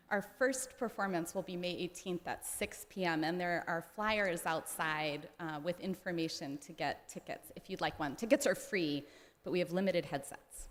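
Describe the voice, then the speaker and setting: A woman leading a service, steadily reading a guest introduction and formal announcements. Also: mid-range voice. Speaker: service leader. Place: church sanctuary. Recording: reverberant hall.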